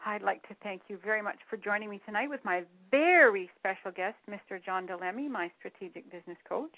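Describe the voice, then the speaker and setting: A woman's voice: medium pitch, brisk, no echo. A woman hosting a teleclass call, politely welcoming listeners and introducing her guest. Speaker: teleclass host. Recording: tinny phone line.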